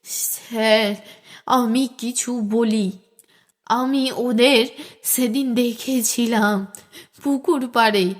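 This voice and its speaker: A woman's voice: fairly high pitch, steady tone, extremely slow, long pauses. A woman voicing a frightened young male village tutor giving nervous, hesitant testimony in an audio story. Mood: nervous, confiding.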